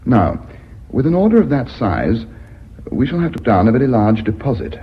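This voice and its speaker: An actor playing a shrewd, assertive businessman in a radio drama, with a husky voice. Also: medium pitch, sing-song, moderate pace. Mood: calm, methodical. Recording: muffled old radio drama recording.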